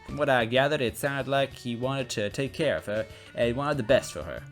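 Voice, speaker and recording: Male story reader: expressive, conversational first-person narration with a theatrical streak, middling pitch, background music. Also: a little fast.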